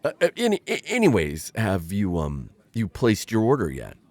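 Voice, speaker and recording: A man's voice: fairly low pitch, wildly swooping pitch, normal speed, a little mellow, dry recording. A man voicing a formal, awkward insectoid alien bounty hunter in an ASMR roleplay, talking in a hesitant, stammering way. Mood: earnest.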